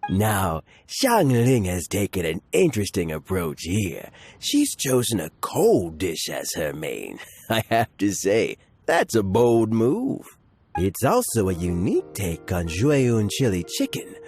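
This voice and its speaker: A man's voice: lowish voice, slow pace, exaggerated voice. A man voicing an enthusiastic, appreciative cooking judge in a video game, with music behind him. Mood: impressed. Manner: expressive, appreciative. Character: enthusiastic male cooking contest judge, savoring food. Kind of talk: video game character acting.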